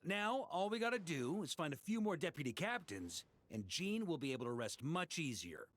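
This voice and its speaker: A man's voice: on the high side, very animated, normal speed, a bit bright. A man voicing an easygoing, confident knight captain in a fantasy video game, casual and reassuring. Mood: breezy, reassuring. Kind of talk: video game character acting.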